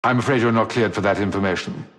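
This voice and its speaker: An actor playing a suave, cowardly gentleman rogue in a video game, glib, florid and theatrically polite. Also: lowish voice, fast talker, close to an everyday voice. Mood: coy, glib. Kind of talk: video game character acting. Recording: long hall reverb.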